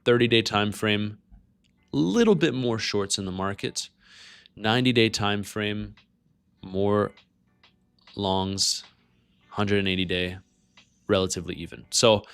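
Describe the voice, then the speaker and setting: Man, low voice, casual livestream host, faint music behind. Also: unhurried, pauses often, fairly bright tone, clean tone. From Canada. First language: French. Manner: conversational, greets and answers chat. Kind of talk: casual livestream market commentary.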